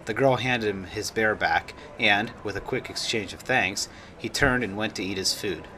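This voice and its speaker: A man's voice: fairly low pitch, normal speed, sharp tone, a little hiss or hum. A man reading his own story aloud as a scripted narration.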